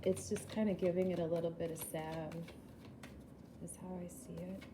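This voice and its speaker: A woman's voice: fairly low pitch, little pitch movement, moderate pace, background noise. A woman giving a casual, chatty tarot reading, rambling and laughing at herself, a little groggy after a poor night's sleep. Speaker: tarot reader.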